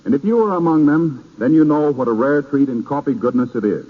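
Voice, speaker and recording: A man's voice: medium pitch, brisk. An American man working as a radio announcer, delivering a scripted 1930s commercial with polished, emphatic salesmanship. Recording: muffled vintage 1930s radio broadcast.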